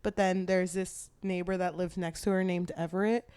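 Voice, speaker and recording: A woman co-hosting a podcast, chatting casually about a book, animated and giggly, swearing freely as she acts out quotes. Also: lowish voice, normal speed, smooth, no room sound. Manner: animated retelling with acted-out quotes. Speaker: podcast co-host.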